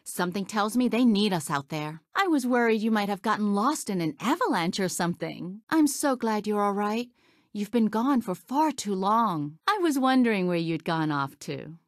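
A young woman voicing a brave, earnest, good-hearted young woman warrior for a video game, in expressive short lines. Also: mid-range voice, moderate pace, no room sound, studio quality, lightly acted. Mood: warm welcome. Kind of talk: video game character voice clips.

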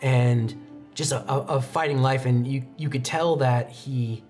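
A young man improvising descriptive tabletop roleplay narration as game master, halting now and then to find his words. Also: medium pitch, darkish tone. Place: indoor studio set, seated at a desk. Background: music.

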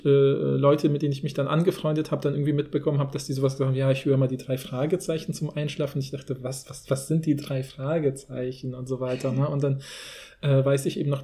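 A man's voice: medium pitch, dark tone. A man from Poland who grew up partly in Germany, co-hosting a podcast in a casual, rambling way. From Poland until about age six, then Germany. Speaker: podcast co-host. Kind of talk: casual conversational podcast talk.

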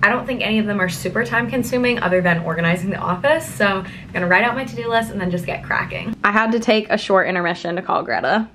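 A woman's voice: mid-range voice, quick pace, hardly any pauses, a bit bright. An American woman in her twenties chatting casually as a vlogger. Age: 20s. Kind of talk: casual vlog chat. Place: indoors at home, rooms and kitchen. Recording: roomy home echo, some background noise.

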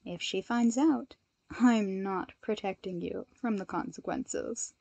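A woman voicing a composed, polite young woman hero with a measured, gently dry manner in a story reading. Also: mid-range voice, gravelly, no room sound. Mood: amused, dry.

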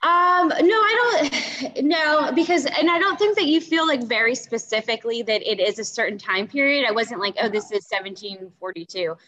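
A woman's voice: fairly high pitch, normal speed, few pauses, pushed and bright, rough recording. A young woman in a casual book club discussion on a video call, hesitantly feeling for her words and often saying "you know.". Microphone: video call computer mic.

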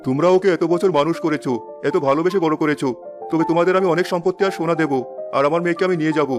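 A man's voice: fairly high pitch, fairly even intonation, rapid-fire, close to an everyday voice. A man voicing a king in a cartoon, a father searching for his lost daughter, who speaks in a commanding, earnest way. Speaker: voice actor. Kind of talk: cartoon voice acting. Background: background music.

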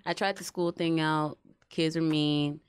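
A young woman from Guyana, a singer-songwriter guest on a radio show, telling her story candidly and relaxed into a studio mic. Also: low voice, unhurried, clear, resonant tone, dry recording. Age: young adult. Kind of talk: casual interview. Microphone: studio boom mic.

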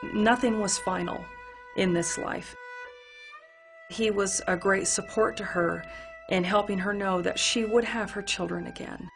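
A woman's voice: medium pitch, creaky. A woman giving reflective interview commentary on history for a documentary. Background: music.

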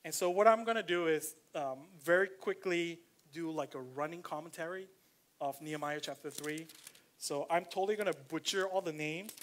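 A pastor with a Malaysian accent delivers a relaxed, conversational sermon in a church, reading scripture aloud. His voice sits somewhat high for a man. He talks with plenty of fillers and slows down when he wants a point to land.

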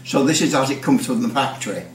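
A man's voice: mid-range voice, lively intonation, fast, a little hiss or hum. Older British man in an echoey room. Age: older, around 70s. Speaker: presenter of a CB radio channel. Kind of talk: casual technical explanation to camera. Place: small indoor workshop with bare brick walls. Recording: roomy echo, distant microphone.

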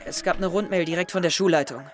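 A man's voice: high-pitched, lightly acted. A young male actor playing a worried, defensive teenage schoolboy in an audio drama, speaking hesitantly. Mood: cautious. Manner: hesitant, halting. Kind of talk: scripted audio drama dialogue. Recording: music under the voice. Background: background music.

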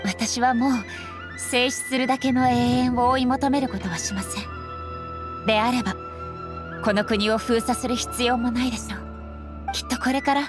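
Earnest regal anime game lady ruler, voiced by an actress, measured polite speech, a bit high, music under it. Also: fairly even intonation, natural voice. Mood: calm resolve. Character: solemn, dignified immortal female ruler, composed and earnest. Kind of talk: scripted anime game dialogue.

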